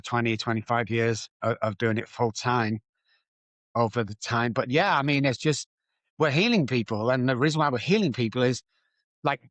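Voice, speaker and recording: A man from England with a Manchester accent, speaking as an addiction recovery coach in a podcast interview, energetic with quick restarts. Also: mid-range voice, no room sound. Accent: Manchester English.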